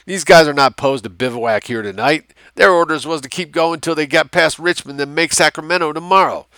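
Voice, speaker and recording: A man's voice: on the high side, a little fast, bright, forceful tone, clean tone, no echo, clean studio sound, pitched above the performer's own voice. A man voicing a brash, foul-mouthed American civilian liaison in a war novel, with a folksy, bullying drawl. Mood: irritated.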